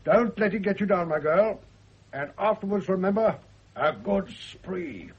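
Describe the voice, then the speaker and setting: An actor playing a hearty, doddering old retired general with a husky voice in a radio drama. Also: male voice, high-pitched, animated, a little slow, exaggerated voice. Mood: hearty, fond. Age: older. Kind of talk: 1970s British radio drama acting. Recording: dull, muffled old radio drama recording.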